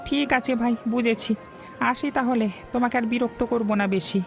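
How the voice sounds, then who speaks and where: This is a poor village husband and father played by an actor in a cartoon tale. The voice is heavy with fry and has a humble, apologetic, pleading manner. Music plays faintly behind it. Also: mid-range voice, steady tone, brisk, natural voice. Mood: resigned, ashamed. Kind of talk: cartoon story dialogue.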